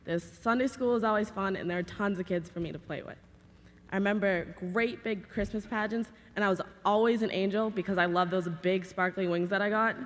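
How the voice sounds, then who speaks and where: A young woman from Massachusetts, hoarse from a cold, telling her story in a chatty, giggly way. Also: medium pitch, steady tone, fairly quick. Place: large cathedral. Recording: long hall reverb with crowd.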